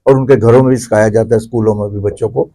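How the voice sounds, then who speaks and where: A man's voice: fairly low pitch, steady tone, dark tone. A man with a Pakistani accent giving explanatory answers as a guest commentator in an interview.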